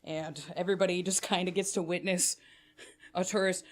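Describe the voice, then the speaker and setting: A woman's voice: on the low side, steady tone, brisk. A woman narrating as game master in a casual, profane way, full of filler words.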